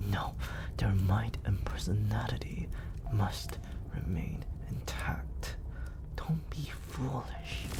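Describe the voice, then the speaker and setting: A man playing a hushed, gravelly villain mentor. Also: lowish voice. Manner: slow, hushed, coaxing whisper. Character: soft-spoken male villain mentor, scheming. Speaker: ASMR roleplay performer. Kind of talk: ASMR roleplay. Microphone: close studio condenser.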